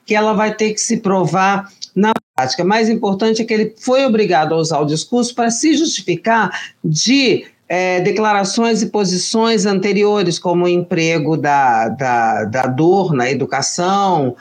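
Measured Brazilian woman commentator. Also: medium pitch. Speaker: political commentator. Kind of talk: panel commentary.

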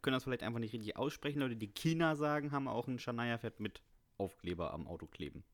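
A man's voice: mid-range voice, lively intonation, fast. A man co-hosting a podcast, talking casually and a little hesitantly, with frequent fillers. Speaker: podcast co-host.

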